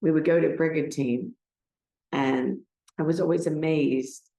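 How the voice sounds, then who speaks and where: An older American woman giving a personal talk to a gathering, speaking in a halting, emotional way. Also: low-pitched, steady tone, vocal fry, warm tone. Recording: roomy, echoing sound.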